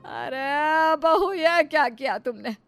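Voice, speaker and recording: A woman's voice: high voice, lively intonation, slow pace, a little hiss or hum, heavily acted. A woman voicing a kindly, motherly mother-in-law in a cartoon story, patient though easily astonished. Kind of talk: Hindi cartoon storybook dialogue.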